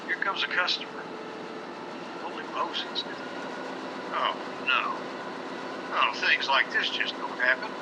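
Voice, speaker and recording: A man playing a wisecracking, excitable street reporter calling in an eyewitness account in a 1950s-style radio drama. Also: on the high side, takes it slowly, long pauses, very noisy. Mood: startled, urgent. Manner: exclamatory, running eyewitness report.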